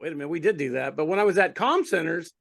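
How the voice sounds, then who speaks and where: A man's voice: a little high, expressive, fast talker, vocal fry, fairly bright tone. A man co-hosting a podcast, chatting casually and sharing work anecdotes. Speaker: podcast co-host.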